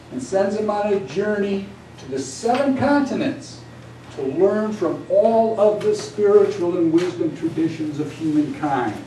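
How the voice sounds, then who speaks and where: A man's voice: sits high, warm tone. A man reciting his own epic poetry in a solemn, grandiose, declamatory manner, as a poet at a live reading. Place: indoor venue at a live reading. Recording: echoey room from far off, background noise.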